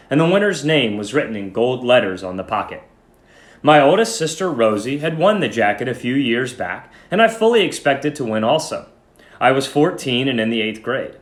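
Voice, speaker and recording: A man giving an expressive dramatic reading of a short story, phrasing it deliberately. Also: medium pitch. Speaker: story reader. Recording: roomy echo.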